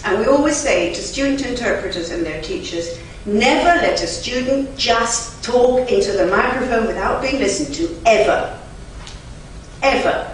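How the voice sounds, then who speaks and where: A woman whose first language is German, a conference interpreter giving an emphatic, chatty lecture to a live audience with lively asides. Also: a little high, normal speed. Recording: very echoey hall, from far off, faint noise.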